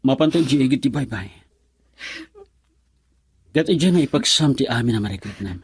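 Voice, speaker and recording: A man's voice: medium pitch, expressive, normal speed, husky. A man playing a grave, concerned character who consoles someone in a radio drama. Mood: concerned, consoling. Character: grave, concerned man breaking news of a death. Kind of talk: Ilocano radio drama acting.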